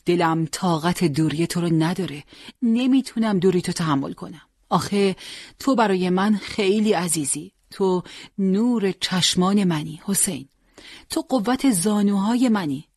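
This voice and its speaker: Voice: mid-range voice, animated, husky, no room sound, studio quality. A storyteller voicing a worried mother pleading tenderly and reproachfully with her son in an audiobook. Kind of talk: acted dialogue in an audiobook.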